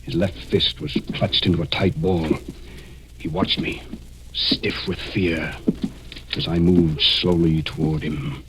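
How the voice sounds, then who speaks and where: An American actor playing a cold, scheming murderer who narrates deliberately and suspensefully in a 1940s radio mystery. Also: male voice, low voice, fairly even intonation, noisy background. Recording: vintage 1940s radio recording, music behind.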